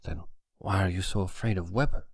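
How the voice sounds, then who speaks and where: A man voicing a frightened, hunted man in an audiobook story, agitated, halting and breathless. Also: low-pitched, fairly even intonation, creaky, no room sound. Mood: agitated accusing.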